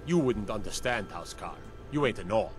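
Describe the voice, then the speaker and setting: A man's voice: lowish voice, expressive, brisk, soft background music, natural voice. A man playing an earnest Nord guardsman who solemnly tells of old legends in a fantasy video game. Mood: scornful.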